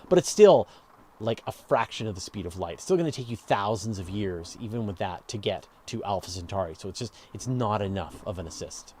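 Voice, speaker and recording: A man's voice: lowish voice, lively intonation, fairly quick, warm tone, a little hiss or hum. A man hosting a casual live question-and-answer show, explaining step by step in a conversational way and stressing key words. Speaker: host of a live space and astronomy Q&A show.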